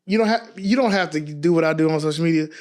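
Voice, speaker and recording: A man's voice: on the high side, fast, a little mellow. An American man from Texas, a podcast guest telling stories casually in a playful, candid, emphatic way. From Dallas, Texas. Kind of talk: casual storytelling chat.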